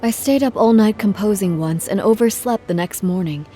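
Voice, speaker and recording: Woman acting a female traveling musician, mellow tone, polite, measured, earnest, careworn, game cutscene with music behind. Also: mid-range voice, slight background noise, close to an everyday voice. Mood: rueful.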